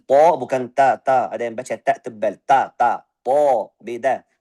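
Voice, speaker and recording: A man giving a Quran recitation lesson, firm and exacting. He voices wrong and right pronunciations side by side, drawing out single syllables slowly for students to copy. His voice sits at an ordinary middle pitch for a man. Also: warm tone. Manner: corrects mistakes by voicing wrong and right pronunciations in turn; firm, repeats short syllables slowly for drilling. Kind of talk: tajwid lesson with spoken recitation examples.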